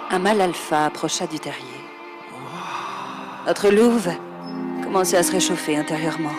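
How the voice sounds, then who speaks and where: A woman improvising a sultry narrator of an erotic radio story, speaking in a hushed, suggestive way. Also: on the low side, normal speed, over music, lightly acted.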